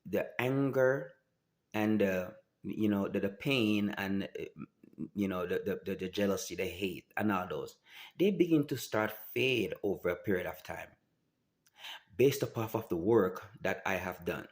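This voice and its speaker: Halting low-voiced man. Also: animated. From Jamaica. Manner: halting, many restarts and fillers, earnest. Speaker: vlogger. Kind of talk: personal testimony to camera.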